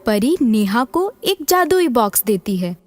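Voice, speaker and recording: A woman's voice: on the high side, big pitch swings, unhurried, a little mellow, no echo. A woman narrating a scripted children's story as storyteller, with music behind her.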